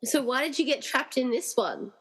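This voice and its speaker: A woman's voice: sits high, animated, moderate pace, slightly rough, full character voice. Woman playing an earnest heroine in echoing hall. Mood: earnest, questioning. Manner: earnest, questioning. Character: earnest, determined young woman on a rescue quest. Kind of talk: amateur radio play acting. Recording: reverberant, hall-like room sound.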